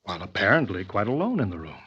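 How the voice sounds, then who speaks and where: An actor playing a smooth, domineering killer scientist in an old-time radio thriller, with an assured, commanding manner. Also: male voice, sits low, sing-song, fast, a bit bright, full character voice. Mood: pained, unrepentant. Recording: muffled vintage radio recording.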